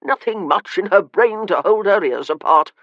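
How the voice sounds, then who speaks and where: A man voicing a frail, irritable, wealthy old gentleman in his later years, short of breath, with a rasping, haughty, slow and weary delivery. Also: high-pitched, lively intonation, no echo, lo-fi sound, voice pushed up. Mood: disdainful.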